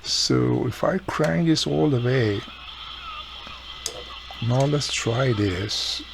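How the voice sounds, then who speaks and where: A man's voice: mid-range voice, very slow, gravelly, background noise. A man giving a casual, hands-on repair walkthrough, explaining informally step by step as he works. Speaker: radio repair hobbyist presenting his own how-to video.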